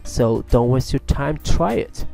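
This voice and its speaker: Mellow male tutorial host. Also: medium pitch, animated, unhurried, vocal fry, faint music behind. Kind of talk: casual software tutorial voice-over. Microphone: USB microphone at a PC.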